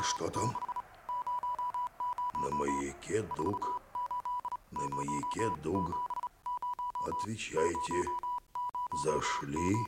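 An actor playing a gruff, grumbling old lighthouse keeper and father in a 1960s Soviet radio drama. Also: rumbling low, exaggerated voice. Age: older.

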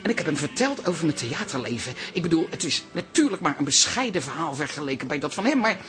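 An actress playing a theatrical, starstruck aunt who loves culture, gushing dramatically in a radio drama. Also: female voice, low voice, sing-song, quick pace. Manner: gushing, dramatic, breathy exclamations.

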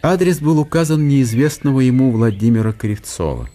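A man's voice: mid-range voice, expressive, moderate pace, mellow. A man reading scripted literary narration for a radio drama.

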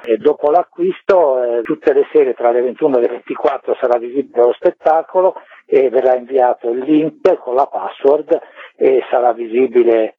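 A husky-voiced man speaks over a thin telephone line with very little room sound. His pitch sits in the middle, and he explains things expansively, in the conversational way of a director being interviewed. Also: no room sound. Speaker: theatre director, interview guest.

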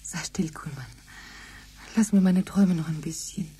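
An actress playing a yearning, desperate but tender colonist in a radio drama, speaking intimately, often half-whispering. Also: female voice, lowish voice, moderate pace, dark tone, husky. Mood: dreamy, yearning. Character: yearning, desperate colonist woman, tender yet stubborn dreamer. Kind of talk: German radio drama acting.

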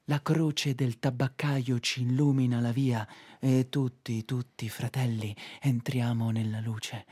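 An actor playing a fevered prophet narrating a doomed city, declaiming a theatrical monologue with insistent repetitions. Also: male voice, mid-range voice, level tone, unhurried, a little mellow, breathy edge. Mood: solemn, poetic.

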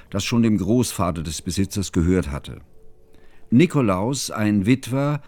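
An actor playing a bookish, self-conscious scholar who recalls his youth in measured, ornate literary narration for a radio play. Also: on the low side, darkish tone. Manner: measured, formal, ornate phrasing. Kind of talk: literary first-person narration, German radio drama.

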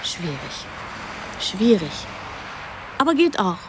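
A woman's voice: mid-range voice, sing-song, takes it slowly, background noise. An actress playing an odd young girl in a radio drama, blunt yet tender, in simple childlike sentences. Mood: matter-of-fact.

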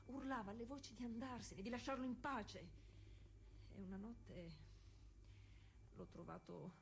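A woman's voice: a little high, gravelly, slight background noise. A woman playing a working-class 1920s cyclist, weary but stubborn, in an intimate, colloquial theatrical monologue. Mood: troubled, tender. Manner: intimate colloquial storytelling with dramatic pauses.

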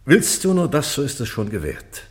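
A man's voice: mid-range voice, wildly swooping pitch, moderate pace. An actor playing a proud, remorseful old British king, declaiming solemnly in classical verse for a radio play. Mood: regal, commanding. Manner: declamatory, solemn, breaking into hushed tones.

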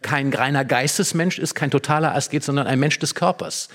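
A man's voice: fairly high pitch, fairly quick, a bit bright. A man speaking as an expert guest, analysing literature conversationally and explaining with examples and small tag questions.

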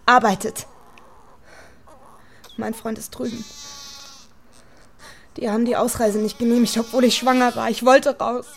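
A woman's voice: on the high side, flat delivery, measured pace, gravelly, long pauses, a little hiss or hum. An actress playing a frightened, cornered young mother in a radio crime drama, defensive and pleading, with a tearful voice. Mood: tearful, bitter.

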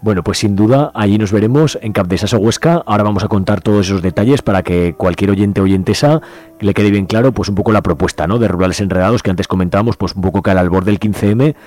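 A man hosting a radio interview, talking briskly and encouraging his guest with frequent short affirmations. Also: fairly low pitch, a little mellow. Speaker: radio host.

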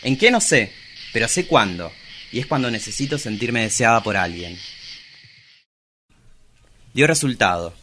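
A man's voice: mid-range voice, sing-song, measured pace, pauses often, sharp tone. A man playing a needy, melodramatic forty-year-old drag performer in an Argentine radio drama, with some noise in the recording. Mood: wistful, self-pitying.

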